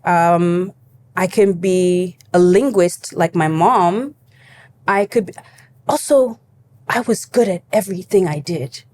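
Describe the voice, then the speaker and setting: A young adult woman from Cameroon, a guest in an interview, telling her story in a lively casual way and acting out her younger self. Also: on the low side, slow, slight background noise. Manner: animated storytelling, acts out her younger self, laughs easily.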